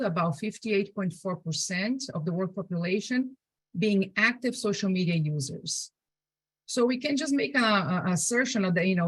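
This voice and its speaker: A woman's voice: medium pitch, no room sound, low-quality recording. A woman with a Brazilian accent, a native Portuguese speaker, giving an informal online presentation in a conversational way, often adding asides and rhetorical questions. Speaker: presenter, digital accessibility specialist. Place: indoors, on a video call. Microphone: video call.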